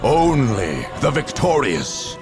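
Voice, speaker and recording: Epic game herald: mid pitch, solemn, fervent, unhurried, over loud dark music. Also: male voice, huge pitch swings, heavily acted. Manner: solemn, declamatory. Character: zealous, grim war herald. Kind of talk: epic game intro narration.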